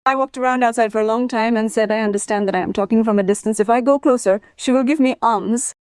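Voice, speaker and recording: Clear, high-voiced woman telling her story. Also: fairly even intonation, fast, darkish tone, dry recording. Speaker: interview guest. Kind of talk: personal storytelling.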